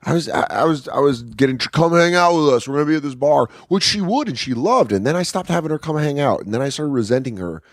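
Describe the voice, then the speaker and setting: A man's voice: a little high, lively intonation, fast talker, hardly any pauses, no room sound, clean studio sound. A man in his thirties, a comedian guest, telling candid, profane stories in casual podcast chat from an apartment. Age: 30s. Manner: candid, profane storytelling with acted-out quotes.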